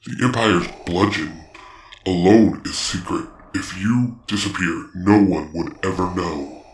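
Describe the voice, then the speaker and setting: A massive alien crime lord, voiced by a man, low and scornful. He boasts and taunts like a haughty ruler in a space fantasy audio drama, heard in a roomy echo over noticeable background noise. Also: a little slow. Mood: boastful threat. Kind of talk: fan audio drama acting.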